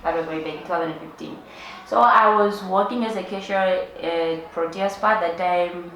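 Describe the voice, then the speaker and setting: A woman with a Namibian accent casually telling a personal story as a guest, animated and using local slang. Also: fairly low pitch, moderate pace. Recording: echoey room with background noise.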